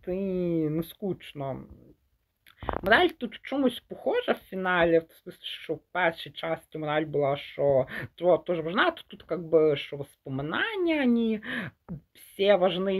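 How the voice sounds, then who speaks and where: A young man giving a casual, unscripted movie review in a halting way, often rephrasing mid-thought and mixing Ukrainian with Russian words. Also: high voice. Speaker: film reviewer.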